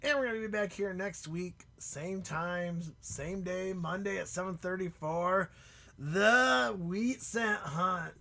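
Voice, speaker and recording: A man hosting a live stream in casual chat, excitable and prone to loud shouts and laughter, and losing his voice. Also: sits high, takes it slowly, creaky. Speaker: livestream host.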